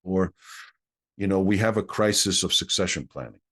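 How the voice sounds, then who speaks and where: Middle-aged man, Greek native speaker, very low voice, earnest explainer; interview guest. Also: normal speed, fairly bright tone. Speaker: guest consultant. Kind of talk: interview conversation.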